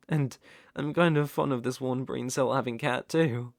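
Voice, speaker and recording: A devoted, possessive British stalker, acted by a man for an intimate ASMR roleplay. His mid-range voice is tender and doting with a wry edge, moving in halting phrases. The sound is dry, with no room to it. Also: mid-range voice, fairly even intonation, gravelly, no echo. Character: devoted, possessive male stalker, outdoorsy and softly protective.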